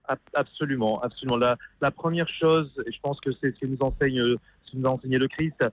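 A man calling a radio show by phone, giving earnest personal testimony and haltingly repeating words as he searches. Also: medium pitch, fairly even intonation. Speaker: phone-in caller, funeral worker. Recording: dull band-limited phone call.

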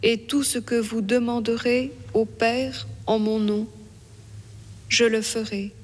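A woman reading scripture and prayer in a solemn, even-toned manner. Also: a little high, takes it slowly. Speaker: prayer reader. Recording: long echoing hall reverb.

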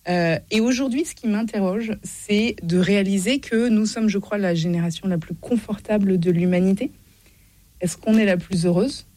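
A woman's voice: mid-range voice, moderate pace, dark tone, dry recording. A woman talking as a guest, reflecting thoughtfully and earnestly. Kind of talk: conversational reflection.

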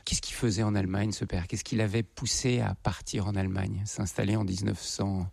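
Gravelly, low-voiced male interviewer. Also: flat delivery, a little fast, a little mellow, no echo. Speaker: interviewer, host. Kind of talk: probing biographical interview.

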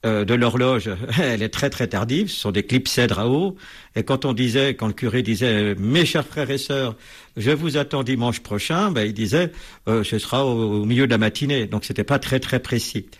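A man's voice: medium pitch, moderate pace, breathy edge. A man being interviewed as a bell expert, explaining at length with many hesitations and restarts.

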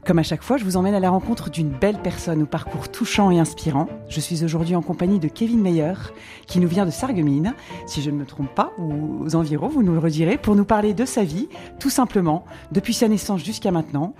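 A woman hosting a radio interview, asking gentle questions and often restarting her sentences. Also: fairly low pitch, lively intonation, a little fast, soft background music. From not from the local region. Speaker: radio host.

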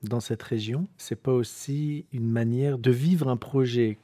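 A man's voice: mid-range voice, a little slow, warm tone. A man from France interviewing people and narrating a documentary with thoughtful, hesitant questions.

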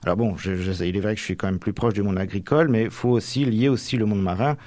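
A middle-aged man from Normandy giving reflective, halting interview answers, often tagging the ends of his phrases. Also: lowish voice, a little mellow, dry recording. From Manche, Normandy, France. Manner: hesitant, halting, frequent tags at phrase ends.

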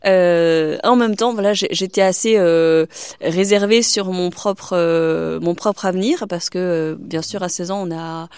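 A woman's voice: fairly low pitch, fairly even intonation, measured pace, darkish tone, smooth, dry recording, clean studio sound. A young woman from China, a religious sister, speaking hesitantly in a radio interview with many fillers and restarts.

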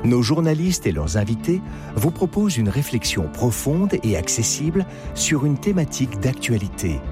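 A man's voice: medium pitch, very animated, normal speed. A man voicing a station promo in a polished announcer read. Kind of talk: radio promo. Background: music bed.

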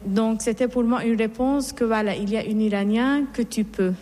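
A middle-aged nun from Iran, reverberant hall. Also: female voice, on the high side, steady tone, moderate pace, warm tone. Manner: earnest, reflective storytelling with restarts. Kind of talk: personal testimony in an interview.

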